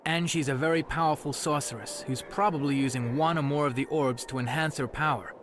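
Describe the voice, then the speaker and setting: Male actor as earnest young game hero: fairly high-pitched voice, determined and sincere. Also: fairly even intonation, fairly quick, noisy background, close to an everyday voice. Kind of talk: video game character acting.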